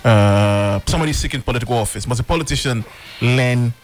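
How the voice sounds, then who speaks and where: A Nigerian man hosts a studio talk show, setting up each topic with a loose, conversational delivery and frequent pauses. His voice sits at a middle pitch. Faint music plays underneath. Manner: conversational, hesitant fillers while framing questions. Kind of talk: hosting a panel discussion.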